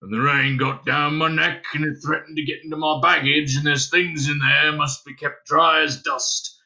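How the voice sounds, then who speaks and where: A man voicing a small, humble, hairy creature with a rough voice and rambling rustic phrasing in a storybook reading. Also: on the high side, little pitch movement, moderate pace, sharp tone, rough recording. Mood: chatty, rambling.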